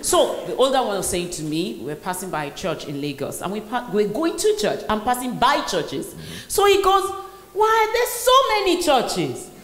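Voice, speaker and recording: A Nigerian woman hosting a live session, telling stories in an animated way with bursts of laughter. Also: medium pitch, moderate pace. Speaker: host. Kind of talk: lively onstage conversation. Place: large hall. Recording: echoey hall with faint noise.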